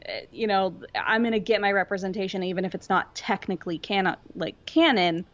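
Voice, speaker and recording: A woman's voice: medium pitch, fairly quick, lo-fi sound. A woman speaking as a podcast guest in informal, casual chat, often peppering her sentences with "like".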